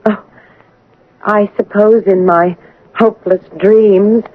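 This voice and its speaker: An actress playing a prim, earnest young governess in an old-time radio drama, formal, restrained and hesitant, with guarded pride. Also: female voice, medium pitch, fairly even intonation, slow, no echo. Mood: pleading, wounded. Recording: dull, band-limited old radio broadcast.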